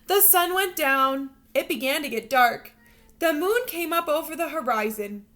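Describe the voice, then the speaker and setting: A woman teacher reading a picture book aloud to young children, expressive and instructional, pausing to put questions to them. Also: high-pitched. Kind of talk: animated read-aloud storytelling with questions to young learners.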